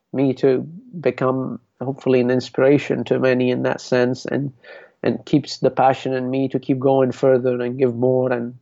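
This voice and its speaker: A man's voice: mid-range voice, vocal fry, darkish tone, no room sound, lo-fi sound. A man from Lebanon, a dance artist, talking reflectively in a podcast interview, with many restarts. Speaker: guest, belly dance artist.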